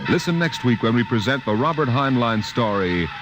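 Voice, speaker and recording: A man's voice: medium pitch, expressive, moderate pace, over music. A man announcing a dramatic old-time radio trailer on a vintage recording.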